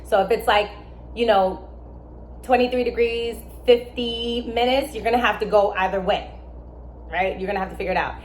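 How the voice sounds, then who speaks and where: A young adult woman, born in Germany and raised in America, explaining astrology in a casual, emphatic way with lively asides. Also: mid-range voice, moderate pace, slight background noise. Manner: animated, emphatic, with asides and laughs. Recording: echoey room with faint ambience.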